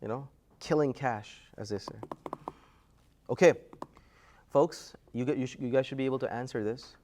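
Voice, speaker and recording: A man's voice: mid-range voice, moderate pace, darkish tone, slight background noise. A man teaching an Islamic studies class, lecturing casually and quizzing students, often pushing his voice up to prompt answers. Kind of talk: classroom lecture with call-and-response.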